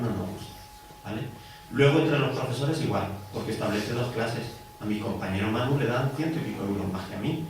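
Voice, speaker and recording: A man with a central Spanish accent speaks colloquially at a public meeting, explaining things as a teacher and union delegate, with rhetorical questions and little check-in tags. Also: mid-range voice. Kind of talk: public talk at a community meeting. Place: indoor hall at a public event. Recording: very echoey hall, distant mic, noisy.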